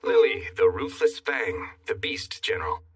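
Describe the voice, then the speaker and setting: An actor playing a cocky young fantasy hero in an animated dub, narrating and bantering with smug, sardonic wit. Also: male voice, fairly high pitch, normal speed, slightly rough, distant mic, full character voice. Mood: wry narrating. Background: music.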